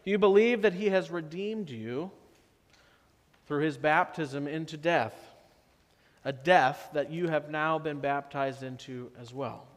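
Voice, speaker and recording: Slow-preaching pastor in a reverberant church. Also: male voice, fairly high pitch. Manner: slow, measured preaching with drops to soft emphasis. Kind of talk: sermon. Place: church sanctuary.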